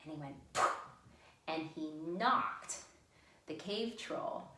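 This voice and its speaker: A woman hosting a library storytime, telling a children's story deliberately with dramatic pauses. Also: low voice, heavy background noise. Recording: echoey room sound.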